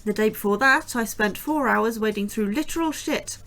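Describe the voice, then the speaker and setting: A woman's voice: on the high side, moderate pace, fairly bright tone. An actress playing a sarcastic, anxious young astrobiologist who narrates her days at the base. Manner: wry, chatty, sarcastic. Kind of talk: audio drama acting and narration.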